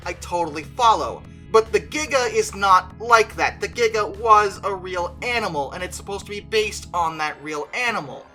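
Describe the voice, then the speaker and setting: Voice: medium pitch, sharp tone. A video creator giving an opinionated, conversational movie review, stretching stressed words for emphasis.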